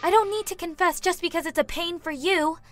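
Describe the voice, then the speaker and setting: Woman acting determined schoolgirl, high voice, earnest anime dub delivery, distant mic, faint music behind. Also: fairly quick, a bit bright. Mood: defiant worry. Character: earnest, determined teenage girl student on trial.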